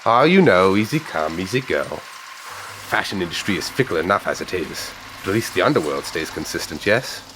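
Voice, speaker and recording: An actor playing a flamboyant tailor who dresses criminals, with a theatrical, preening manner. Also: male voice, low voice, big pitch swings, moderate pace, background noise.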